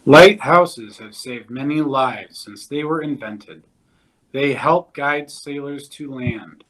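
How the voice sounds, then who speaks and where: A man reading a children's nonfiction book aloud in a measured, deliberate way. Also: medium pitch, a bit bright, rough recording.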